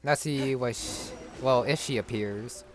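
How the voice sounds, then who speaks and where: A man's voice: mid-range voice, measured pace. A man giving casual, meandering gameplay commentary, musing to himself, with game music behind him. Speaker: gamer commentating on a playthrough.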